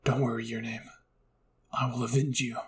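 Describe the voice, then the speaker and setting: A man's voice: mid-range voice, flat delivery, normal speed, slight background noise, voice pushed down, natural voice. A man acting a young soldier, desperate and vengeful, in an emotional, dramatic fan fiction roleplay. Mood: grim vengeful.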